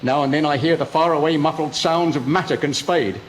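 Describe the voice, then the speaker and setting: A man's voice: on the high side, fairly quick, crowd noise, natural voice. An actor playing a frightened, desperate young male prisoner in a melodramatic, theatrical radio drama. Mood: grim dread. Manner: anguished, theatrical.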